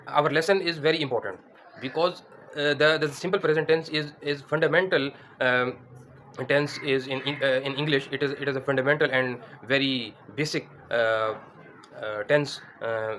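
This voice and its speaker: Teacher in his thirties, mid-pitched, hesitant lesson delivery, close mic indoors. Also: fairly bright tone, slight background noise. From Pakistan. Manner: hesitant, many fillers and restarts. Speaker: English teacher. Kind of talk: spoken grammar lesson. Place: indoors against a plain wall.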